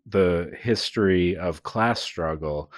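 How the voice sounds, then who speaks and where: A man's voice: deep voice, takes it slowly, no room sound. A man co-hosting a podcast with casual comedic banter in a playful, mock-earnest way. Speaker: podcast co-host.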